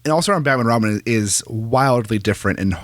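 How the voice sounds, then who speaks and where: A man co-hosting a podcast, chatting about comics in a quick, agreeable, jokey way. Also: mid-range voice, no echo. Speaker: podcast co-host. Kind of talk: casual comics chat.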